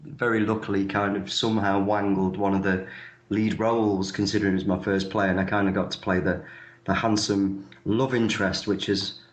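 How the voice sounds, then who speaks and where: Low-voiced man in an echoey room. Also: flat delivery, moderate pace. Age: 30s. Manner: hesitant, self-effacing, often repeating words. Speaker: actor being interviewed. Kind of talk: casual interview conversation.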